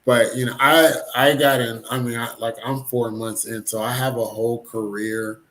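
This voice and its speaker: A man's voice: mid-range voice, little pitch movement, moderate pace, fairly bright tone, a little hiss or hum, low-quality recording. A man who is a new nurse on a panel, talking casually with fillers.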